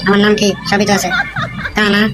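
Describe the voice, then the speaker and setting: A woman's voice: medium pitch, completely flat delivery, a little fast, over a noisy crowd, very noisy, lightly acted. Woman voicing a cheeky cartoon brat, Boltu. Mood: mocking. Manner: sassy, back-talking, mocking. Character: cheeky cartoon schoolboy Boltu, rude and mouthy. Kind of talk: comic cartoon voice acting. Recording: music playing behind.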